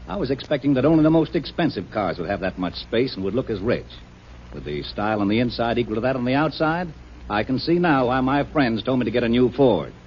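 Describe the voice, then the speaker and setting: A man reading a scripted 1950s radio testimonial in a plain, earnest, recited manner. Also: medium pitch. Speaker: testimonial reader in advertisement. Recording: muffled, hissy old radio recording.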